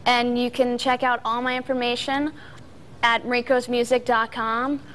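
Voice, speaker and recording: Playful young woman, fairly even pitch. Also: moderate pace, slight background noise. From born in Tokyo, moved to America as a child. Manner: playful, joking. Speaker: musician guest. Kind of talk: casual interview chat. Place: television studio.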